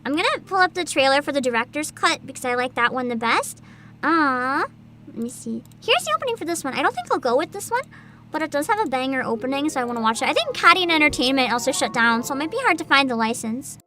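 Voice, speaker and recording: Very high, bright, excitable woman streamer. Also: fast. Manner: giggly, excitable, expressive. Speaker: livestream host, VTuber. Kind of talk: casual livestream chat.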